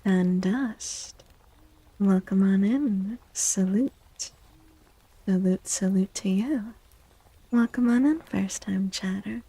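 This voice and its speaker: A woman hosting a soft-spoken ASMR livestream, hushed and breathy, often slipping into whispers and gently repeating phrases. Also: medium pitch, takes it slowly, long pauses, no echo, rough recording. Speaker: livestream host, tarot reader. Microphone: close microphone in a dry space.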